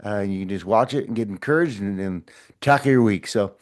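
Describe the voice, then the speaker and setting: A man's voice: lowish voice, fairly quick. A middle-aged man hosting a short motivational podcast, talking earnestly and encouragingly with frequent repeats and restarts. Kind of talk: casual motivational talk to camera.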